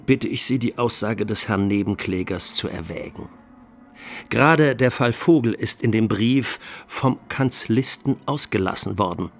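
Incisive, emphatic male trial lawyer. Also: fairly low pitch. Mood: pointed, scornful. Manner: emphatic, rhetorical, formal. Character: male trial lawyer, indignant and incisive, arguing before judges. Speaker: lawyer in court. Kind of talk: courtroom plea in a radio drama.

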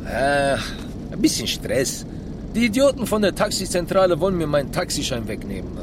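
An actor playing an excitable, aggrieved Turkish taxi driver in a radio drama, chatty, emphatic and indignant. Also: male voice, a little high, normal speed. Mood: evasive, troubled. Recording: noisy car interior with traffic.

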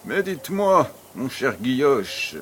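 An actor playing a courteous, worldly baron in a French audio drama, with a polite and playful flourish. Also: male voice, medium pitch, very animated, takes it slowly, slight background noise. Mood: amused. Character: courteous, worldly Parisian baron, suave and amused.

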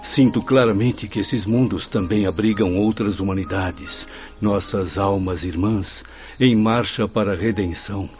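An actor playing a humbled, awestruck spirit seeking redemption in a solemn, poetic radio drama monologue. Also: male voice, on the low side, unhurried, darkish tone, soft background music, lightly acted. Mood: awed, humble.

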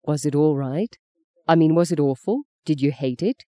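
A woman reading a story, voicing a gentle, devout young man who speaks softly, sadly and steadily. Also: low-pitched, moderate pace, no echo, natural voice. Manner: soft, sad, steady.

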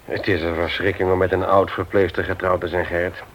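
A man's voice: sits low, flat delivery, a little fast. A man playing a genial, teasing husband and father in a radio play. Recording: dull old radio recording.

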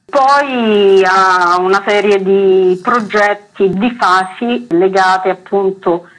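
A woman gives a radio interview about an arts project, speaking slowly and deliberately with the odd hesitation. Her voice sits in a middle register and has the sound of a radio broadcast. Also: medium pitch, a bit bright, low-quality recording. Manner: measured, explanatory, with hesitations. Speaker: arts festival director, guest.

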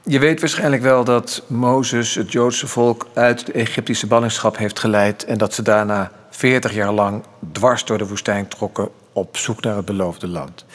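Husky, low-voiced middle-aged father. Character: weary, learned middle-aged father, slightly awkward. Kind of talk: radio drama acting.

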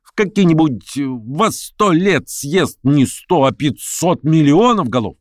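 A man voicing a domineering, impatient political agitator in an audiobook, with sharp, goading and mocking oratory. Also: fairly high pitch, lively intonation, unhurried, dry recording, studio quality, pitched above the performer's own voice. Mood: fervent, goading. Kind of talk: acted audiobook dialogue.

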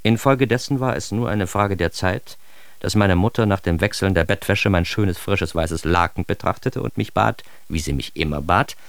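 A man's voice: sits low, moderate pace, creaky, no room sound. An actor playing a grown son narrating his embarrassed adolescence in a radio drama, with an ironic, mock-formal, theatrically indignant delivery. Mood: wry, confessional.

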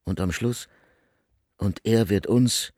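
An actor playing an aging male jurist who coolly justifies himself in measured, lecturing speech, in a radio drama. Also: fairly low pitch, a little mellow, no room sound, clean studio sound.

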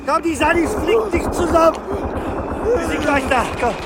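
Frantic, very high-pitched soldier in battle. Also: male voice, normal speed, very noisy. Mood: frantic, desperate. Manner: shouting, desperate. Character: frantic young soldier urging on his wounded brother in battle. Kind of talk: German radio play acting. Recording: loud battle sounds over the voice.

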